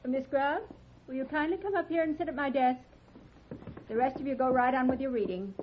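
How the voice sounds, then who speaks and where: An actress playing a stern, principled frontier schoolteacher in an old-time radio western, formal, prim and firm. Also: female voice, high voice, brisk, close to an everyday voice. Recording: muffled, noisy vintage radio recording.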